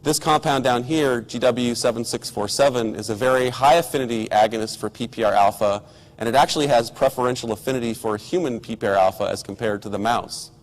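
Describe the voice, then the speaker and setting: A middle-aged man, hoarse from a cold, giving a measured technical science lecture with occasional ums and restarts. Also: mid-range voice. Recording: lecture hall with long reverb.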